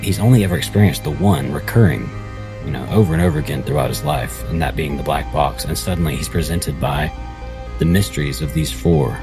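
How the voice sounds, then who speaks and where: Male tabletop player describing his character's actions, extremely low voice, deliberate phrasing, music bed clearly underneath. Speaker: tabletop role-playing player. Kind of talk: narrating own character's actions in a tabletop game.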